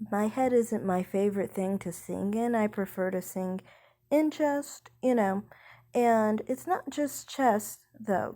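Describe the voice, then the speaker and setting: Slow, rambling young woman singer. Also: on the high side, mellow. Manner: rambling, hesitant, many fillers; speaks slowly. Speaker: singer talking about her voice type. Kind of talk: casual vlog chat.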